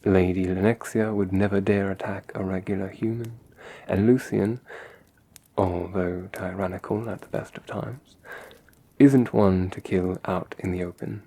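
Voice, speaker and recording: A man acts out a young male vampire, courteous and brooding, in an ASMR roleplay. The voice is dark, mellow and husky, with little rise and fall. He sounds measured, formal and protective. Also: deep voice, steady tone. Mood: grave, wry.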